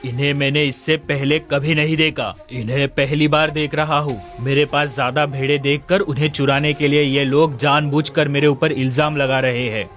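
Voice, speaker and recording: A man's voice: fairly high pitch, fairly even intonation, moderate pace, few pauses, a bit bright, natural voice. Man acting a glib village swindler over music. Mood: feigned innocence. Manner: glib, falsely innocent. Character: smooth-talking swindling male sheep thief. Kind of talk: Hindi animated moral story dialogue. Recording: music under the voice.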